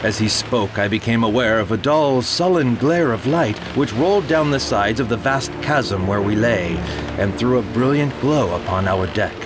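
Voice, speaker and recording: A man narrating a dramatized horror tale of the sea in a grave, measured voice that sinks low and quiet on dark phrases, with music playing underneath. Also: lively intonation, noisy background.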